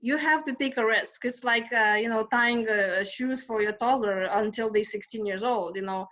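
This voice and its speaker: Woman, Lithuanian accent, higher voice, chatty with fillers and restarts, dull lo-fi recording. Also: fairly quick, a bit bright. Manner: chatty, many fillers and restarts, laughs often. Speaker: stress management coach, podcast guest. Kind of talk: casual conversational interview.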